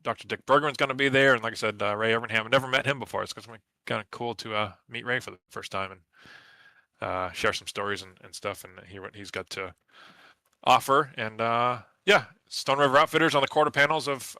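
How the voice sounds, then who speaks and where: A man's voice: mid-range voice, sharp tone, dry recording. A man from New England, the race winner, talking confidently in a post-race interview with plenty of fillers. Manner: confident, competitive, lots of fillers.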